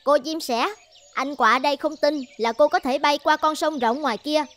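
A woman voicing a feisty, chatty small female bird in a children's cartoon, sassy and expressive. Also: sits high, normal speed. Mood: challenging.

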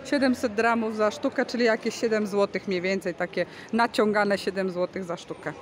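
A woman's voice: mid-range voice, steady tone, moderate pace. A woman hosting a casual vlog, chatting enthusiastically and explaining goods as she films with a handheld phone in a large covered market hall. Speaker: vlog host. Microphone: handheld phone camera, speaker behind it. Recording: busy market crowd noise. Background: bustling market crowd.

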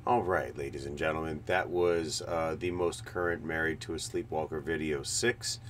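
A man's voice: extremely low pitch, fairly even intonation, normal speed, slight background noise. A middle-aged man giving casual, blunt muttered commentary as a streamer reacting to videos.

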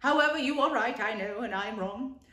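A woman's voice: fairly high pitch, brisk, bright, forceful tone. A woman voicing a boastful, vain male toad who turns self-pitying, with a theatrical manner. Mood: sadly grand. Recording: roomy echo.

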